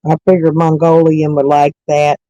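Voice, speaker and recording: A hoarse woman with stuffy sinuses, rambling folksily as she chats with live viewers from her camper van. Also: low-pitched, flat delivery, normal speed, dark tone, no room sound, highs cut off. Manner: rambling, folksy, self-correcting. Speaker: vlogger chatting with live viewers. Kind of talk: casual morning chat.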